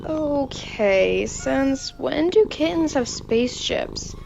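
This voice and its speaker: A woman playing a deadpan, skeptical spaceship crew member in a sci-fi comedy radio drama. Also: sits high, takes it slowly, exaggerated voice. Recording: muffled, with clear background noise.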